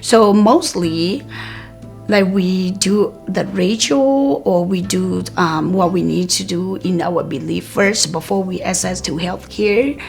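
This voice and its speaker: Creaky-voiced woman, Karenni native speaker. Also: lowish voice, a little mellow, soft background music. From Myanmar. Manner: measured, with frequent "um" and "like" pauses. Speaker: community health worker and program manager. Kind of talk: interview.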